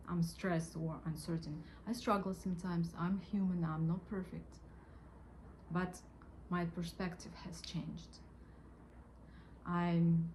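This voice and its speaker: Earnest woman, low voice. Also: a little hiss or hum. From Russia. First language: Russian. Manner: earnest, gentle, pauses often with fillers. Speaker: convert sharing her faith story. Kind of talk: personal testimony.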